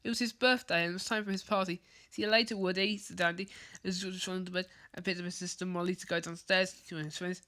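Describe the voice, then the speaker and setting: A woman reading a children's bedtime story aloud, haltingly, stumbling over and repeating words as she goes. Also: fairly low pitch, steady tone, bright, forceful tone. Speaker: storybook reader.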